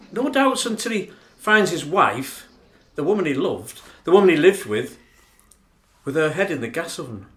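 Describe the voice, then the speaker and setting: An actor playing a formal, indignant dinner speechmaker in a radio play, declamatory and emphatic. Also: male voice, fairly high pitch. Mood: grave. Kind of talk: theatrical radio play monologue. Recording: roomy, echoing sound.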